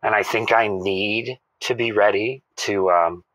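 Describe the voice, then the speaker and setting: A man playing an earnest, self-important radio show caller in an audio drama, talking casually and haltingly. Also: lowish voice, fairly even intonation, a bit bright, no room sound, rough recording. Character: self-serious, devoted male sign spinner calling radio show. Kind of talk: semi-improvised audio drama, casual phone-in chat.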